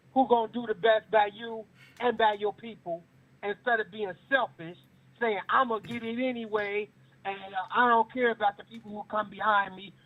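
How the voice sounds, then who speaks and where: A man calling in to a radio show, preachy and repeating words for emphasis. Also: sits high. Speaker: caller. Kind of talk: call-in radio comment. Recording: tinny phone line.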